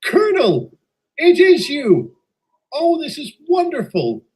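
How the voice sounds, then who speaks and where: A man voicing an eager young male engine who talks in a high, breathless, excited rush in a fan audio drama. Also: takes it slowly, heavily acted. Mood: thrilled.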